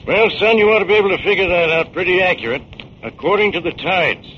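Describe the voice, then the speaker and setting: A folksy old seadog in a forties radio play, high-voiced and obliging. He talks in homespun rustic phrases. The old recording sounds dull. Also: animated, fast. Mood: helpful. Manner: homespun, rustic turns of speech. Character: folksy, obliging old sailor and boat renter. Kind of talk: 1940s radio drama acting. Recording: dull, slightly noisy 1940s radio recording.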